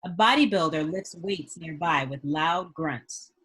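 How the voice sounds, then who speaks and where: A woman's voice: deep voice, measured pace, a bit bright, husky. A woman reading screenplay stage directions as a narrator at a script table read.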